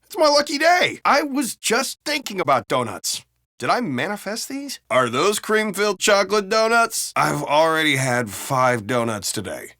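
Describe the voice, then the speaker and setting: American video game cop, higher-pitched, sardonic, excitable, profane, very dry close sound. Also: male voice, normal speed, no room sound, exaggerated voice. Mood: giddy excitement. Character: foul-mouthed, gruff, excitable American male city cop. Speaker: video game police officer. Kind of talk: game voice lines, banter and barks.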